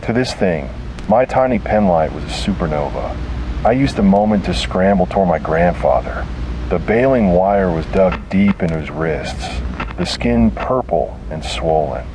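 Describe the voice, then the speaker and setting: Low deep male voice reading a creepy horror tale, dramatic short phrases, noisy rumbly background. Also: lively intonation, moderate pace. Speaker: horror story narrator. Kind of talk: scripted first-person horror narration.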